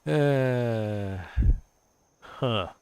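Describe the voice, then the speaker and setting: A man's voice: medium pitch, drawn-out words, a little mellow, clean tone, no room sound. A man with a cold, stuffy and sniffly, casually thinking aloud as he builds in a game on a live stream. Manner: thinks aloud, muttering to himself and chuckling.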